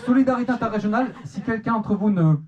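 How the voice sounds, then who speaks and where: A man's voice: high voice, quick pace. A young man from the Manche region of France with a Normandy accent, doing a teasing stand-up set in a club and often restarting his words. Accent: Manche Normandy French. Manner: teasing, self-mocking, stammering restarts. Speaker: stand-up comedian. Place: comedy club stage. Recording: dull lo-fi club recording, noisy. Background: audience laughter.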